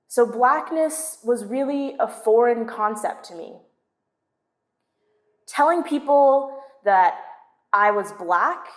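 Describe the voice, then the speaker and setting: A woman from Southern California telling a personal story in a measured, confessional spoken-word monologue. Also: on the high side. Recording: roomy echoing space.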